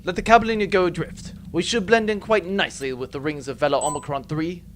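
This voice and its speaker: A man's voice: on the high side, quick pace, fairly bright tone, a little hiss or hum, natural voice. A man playing a cocky, wordy space outlaw in a comic audio drama, with a theatrical, wry manner. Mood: smug, explanatory.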